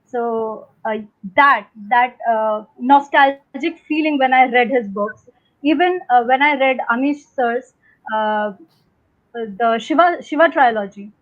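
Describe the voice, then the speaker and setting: This is a young woman from India with a high voice, whose first language is Hindi, answering questions on a panel. She rambles and hesitates, with many fillers and restarts. Her voice comes through a thin, phone-like video call line. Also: slow pace. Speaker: panel guest. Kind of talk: unscripted conversational answers.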